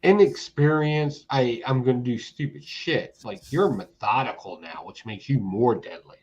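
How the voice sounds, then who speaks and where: A man's voice: mid-range voice, normal speed, a little mellow. A man in his forties hosting a casual chat, hyped and egging others on. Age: 40s. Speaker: host. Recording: dull lo-fi sound with faint noise.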